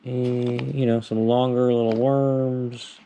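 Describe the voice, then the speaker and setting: A young man casually narrating his own vlog, relaxed and rambling with plenty of fillers, recorded on an action camera at home and outdoors by a lake. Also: mid-range voice, takes it slowly, highs cut off, background noise. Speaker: vlogger. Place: apartment indoors, then outdoor park by a lake.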